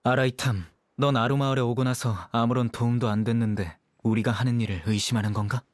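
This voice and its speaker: An actor playing a stern, commanding guardian in a video game, speaking in a curt, grave manner. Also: male voice, medium pitch, little pitch movement, fairly quick, no room sound, lightly acted. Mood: accusing. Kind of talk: game character dialogue.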